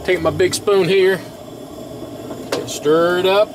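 A man's voice: high-pitched, fairly even intonation, slow pace. A middle-aged man casually narrating his cooking step by step at a camp stove outdoors, recorded on a camera mic. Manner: folksy, talks through each step. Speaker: camping vlogger. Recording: outdoor camera audio with clear background noise.

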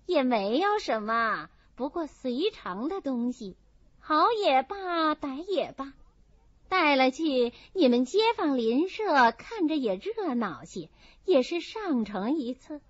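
A woman's voice: high voice, very animated, takes it slowly, no room sound, full character voice. A woman voicing a shrewd, gracious lady of a wealthy household in an audiobook, chatty and in command. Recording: dull, muffled audio.

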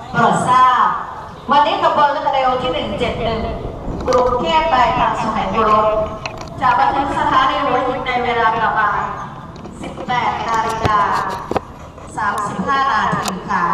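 A woman making formal, measured railway station announcements phrase by phrase over a public address loudspeaker. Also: on the high side. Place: railway platform, heard from afar. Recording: echoing distant station loudspeaker, noisy ambience.

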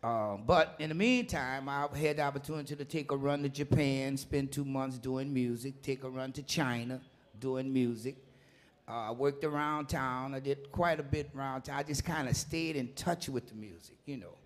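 A man, a vocalist telling his story in a relaxed, plainspoken way at an onstage interview. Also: mid-range voice, moderate pace. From New Orleans. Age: 50s.

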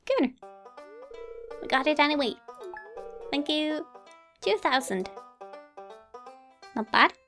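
Female let's play host, high voice, mild and chatty, game music underneath. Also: animated, takes it slowly, long pauses, a bit bright. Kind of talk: casual gameplay commentary, reading game dialogue aloud.

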